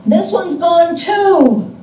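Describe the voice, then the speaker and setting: An older woman playing an indignant, suspicious elderly lady, deliberate and emphatic, in a readers theatre stage reading. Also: extremely high pitch, lively intonation, darkish tone, exaggerated voice. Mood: suspicious, insistent. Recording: distant mic in echoey room, background noise.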